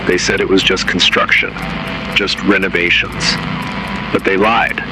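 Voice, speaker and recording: A man narrating analog horror in the grave, measured manner of an emergency announcer. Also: low voice, poor audio. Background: music.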